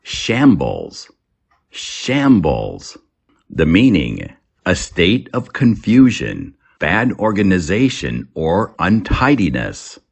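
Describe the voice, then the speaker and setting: A man reads a scripted English lesson as a teacher, setting words and phrases apart with deliberate enunciation. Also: sits low, wildly swooping pitch, creaky, slightly rough. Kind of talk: scripted vocabulary lesson narration.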